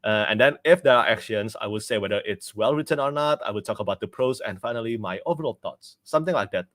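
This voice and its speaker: A man's voice: on the low side, quick pace, a bit bright, dry recording. A young man with an Indonesian accent, chatting casually on a livestream as a book reviewer, laughing often and restarting his sentences.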